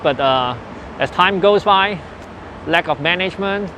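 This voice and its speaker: A man in his forties speaks in earnest, unhurried English with a Hong Kong accent. His voice sits a little high for a man, and his grammar runs loose as he reminisces. Faint street noise hums in the background. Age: 40s. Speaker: interviewee. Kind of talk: personal reminiscence in interview. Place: old building where his family lived and worked.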